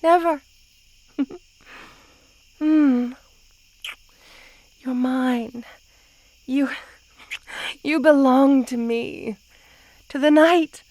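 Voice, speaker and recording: A woman's voice: sits high, mellow, no room sound, full character voice, poor audio. A woman playing a possessive, lovesick snake queen in an intimate roleplay ASMR, speaking tenderly with sighs. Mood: fiercely possessive. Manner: slow, tender, sighing, possessive delivery.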